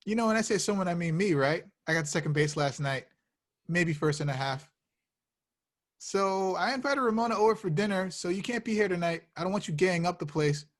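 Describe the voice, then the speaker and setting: A man's voice: high voice, expressive, brisk. A man playing an awkward, sheepish young slacker in a comedy table read, talking in a casual, hesitant way. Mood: smug.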